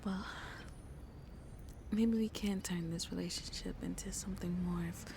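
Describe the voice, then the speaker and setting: A woman playing a shy, lonely vampire in an intimate ASMR roleplay, speaking softly and hesitantly, often half whispering. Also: lowish voice, fairly even intonation, noisy background. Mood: tender, seductive. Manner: slow, hesitant, halting, soft and breathy. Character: shy, lonely female vampire on a tender first date.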